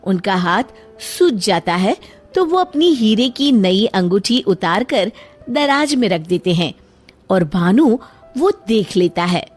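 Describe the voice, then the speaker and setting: A woman's voice: medium pitch, sing-song, slow pace, faint music behind. A woman narrating a scripted children's moral story.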